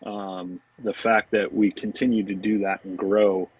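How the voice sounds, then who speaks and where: American male interview guest, deepish and raspy, informal and meandering, laid-back phrasing. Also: level tone, unhurried, narrow, tinny audio. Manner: informal, rambling, peppered with "you know" and "man". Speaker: podcast guest, fishing products marketing director. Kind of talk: casual interview chat.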